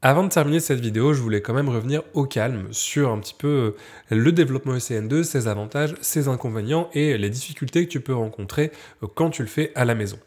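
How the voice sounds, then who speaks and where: A man's voice: mid-range voice, fast, darkish tone. A young man with a head cold, casually explaining his home film developing with many fillers and restarts. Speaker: film photography youtuber. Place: home darkroom corner, then a dim studio room. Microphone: camera mic, then a studio mic close up.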